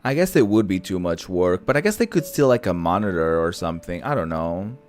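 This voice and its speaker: A man's voice: deep voice, expressive, brisk, a little mellow, soft background music. A man giving casual, chatty let's-play commentary in a playful, campy manner, reading bits of dialogue aloud. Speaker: video game streamer.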